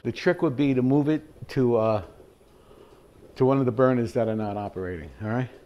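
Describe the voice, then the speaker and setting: An older man talks his way through a recipe in his own kitchen, loose and chatty, full of "uh" and "all right" and little chuckles. His voice sits at an ordinary male pitch, picked up by a camera standing a few feet off. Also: moderate pace, dull audio, a little hiss or hum. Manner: chatty, rambling, with chuckles and fillers. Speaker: home cooking show host. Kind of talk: casual cooking demonstration. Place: home kitchen, indoors. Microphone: fixed camera at mid distance.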